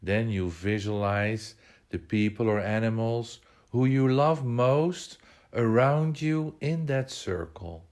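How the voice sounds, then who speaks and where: A man leading a guided meditation, giving measured instructions in short phrases with pauses between them. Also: mid-range voice, a little mellow.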